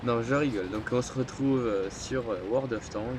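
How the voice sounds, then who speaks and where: A man talks over his gameplay with a cold, his voice a little stuffy through the nose. He sits at a middle pitch and rambles, circling back with hesitations and filler sounds. A faint noise sits behind him. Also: moderate pace. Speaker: gameplay commentator. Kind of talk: casual gameplay commentary.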